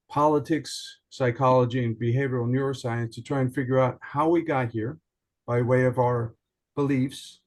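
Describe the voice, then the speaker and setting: A man from Iceland giving a prepared self-introduction in an online meeting, measured and articulate with formal wording. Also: medium pitch, a little mellow. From Iceland, raised partly in other countries. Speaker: participant, journalist and writer. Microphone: online video call.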